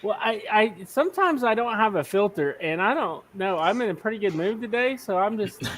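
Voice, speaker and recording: A man's voice: high-pitched, expressive, brisk, fairly bright tone. A middle-aged man hosting a casual live chat, joking and laughing often as he talks. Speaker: livestream host.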